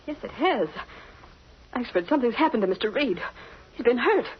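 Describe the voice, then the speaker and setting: A woman's voice: fairly high pitch, lively intonation, normal speed, slightly rough. A woman playing an anxious, questioning secretary in a 1940s radio drama, speaking with urgency. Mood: alarmed. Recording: muffled 1940s radio, noisy.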